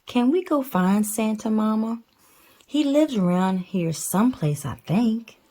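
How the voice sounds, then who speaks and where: A woman voicing a curious, eager little bear cub from a storybook, full of excitable questions. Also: on the high side, a little slow, pitched above the performer's own voice.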